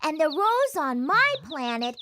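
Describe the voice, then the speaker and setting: A woman's voice: high voice, big pitch swings, unhurried, heavy background noise. A woman voicing an innocent, curious, earnest little prince, a small boy in a children's cartoon. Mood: earnest, tender. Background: music.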